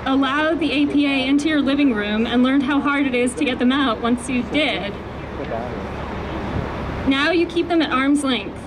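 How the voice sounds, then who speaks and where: A woman with a soft voice giving an earnest speech at an outdoor rally, holding the microphone close, with fillers and restarts. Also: sits high, moderate pace, crowd noise. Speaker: activist speaker at a rally. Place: outdoors on a city street. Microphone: handheld microphone held close. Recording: noisy outdoor street recording. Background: traffic and street noise.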